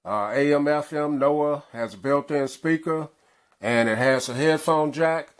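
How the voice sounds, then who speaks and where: Relaxed man reviewing a gadget. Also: a little high, unhurried, clear, resonant tone. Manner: relaxed, rambling, frequent uhs. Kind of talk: casual product review.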